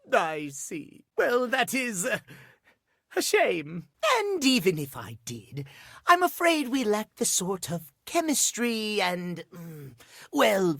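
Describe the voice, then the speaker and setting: A fussy male clock from a video game, prim and punctual to a fault. His voice is high for a man and swings theatrically up and down. He speaks in measured, formal, old-fashioned phrases, picking his pace with care. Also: a bit bright, no echo, clean studio sound, exaggerated voice. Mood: awkward, apologetic. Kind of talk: video game character acting.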